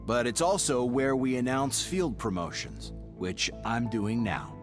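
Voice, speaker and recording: A wisecracking squad agent. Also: male voice, mid-range voice, unhurried, over music. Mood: wry. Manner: dry humor, lively delivery. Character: wry, joking male squad agent.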